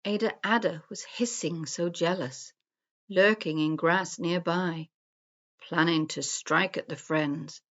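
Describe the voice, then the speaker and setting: A woman storyteller reading a rhyming children's story aloud in a measured, singsong rhythm. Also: fairly low pitch, steady tone, a bit bright, lo-fi sound.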